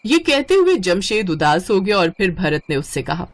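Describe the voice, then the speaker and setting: A woman's voice: on the low side, sing-song, moderate pace. A woman reading a scripted moral story as its narrator.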